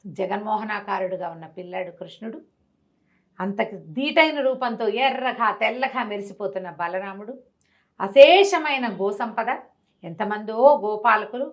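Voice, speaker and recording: A woman storyteller telling a devotional tale in an animated way, acting out the characters' voices as she goes. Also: mid-range voice.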